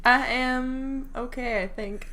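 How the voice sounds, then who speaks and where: A woman's voice: sits high, steady tone, takes it slowly, crowd noise. A woman co-hosting a casual gaming podcast, hesitant and giggly, often stumbling and trailing off as she talks. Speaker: podcast co-host. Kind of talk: casual chat.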